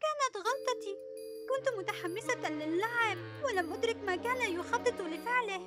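A woman voicing a brave, eager young girl superhero in a dubbed cartoon, speaking in an excited, bossy way. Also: very high-pitched, a little fast, loud music behind the voice. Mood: regretful. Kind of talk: cartoon dubbing.

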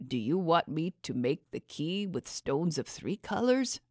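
A grumbling male stone carver, put on by a woman narrator talks to a baby in a fantasy audiobook. He is gruff and dry, muttering in a low pitch, recorded dry and close. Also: sing-song, measured pace, dry recording, heavily acted. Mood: puzzled, muttering.

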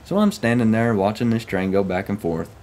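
A man's voice: fairly low pitch, big pitch swings, fairly quick, darkish tone, a little hiss or hum. A young man from Oklahoma with a Southern US accent, casually telling first-person railfan stories in a folksy way. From Oklahoma, United States. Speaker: railfan vlogger. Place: small room at home, indoors.

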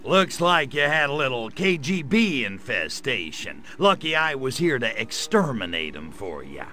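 A man voicing a sarcastic gray alien invader in a video game cutscene, delivering deadpan quips. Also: medium pitch, a little slow, faint music behind. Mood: sardonic.

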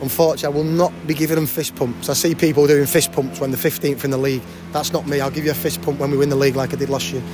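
A man in his forties, a football manager, giving a post-match interview at a stadium with a handheld mic. He talks fast and often restarts and repeats words. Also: on the high side, flat delivery, background noise. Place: outdoors by a cafe banner at a stadium.

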